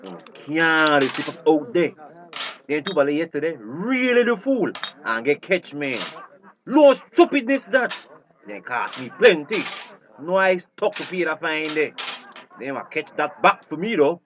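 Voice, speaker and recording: Swaggering Belizean crook, noisy recording. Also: male voice, on the high side, big pitch swings, a little slow. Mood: annoyed. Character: resentful, swaggering male small-time crook fisherman. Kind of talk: screen drama dialogue in Creole English. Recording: clearly noisy location sound.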